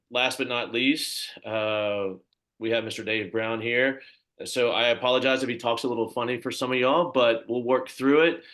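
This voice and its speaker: Male host, lowish voice, casual and filler-heavy, runs a coaches' video call. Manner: chatty, lots of fillers, warmly thanks others. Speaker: club coach hosting the coach introductions.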